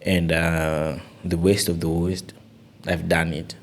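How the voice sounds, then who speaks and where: Deep-voiced earnest young man. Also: takes it slowly, slight background noise. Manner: earnest and animated, often says "you know". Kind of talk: casual podcast conversation.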